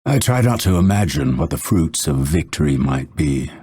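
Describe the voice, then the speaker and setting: Husky, deep-voiced brooding assassin. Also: male voice, big pitch swings, dark tone, heavily acted. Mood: brooding, solemn. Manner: measured, theatrical, ominous delivery. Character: male assassin, brooding, menacing, vengeful, coldly devoted. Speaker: video game voice actor. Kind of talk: dramatic game character voice lines.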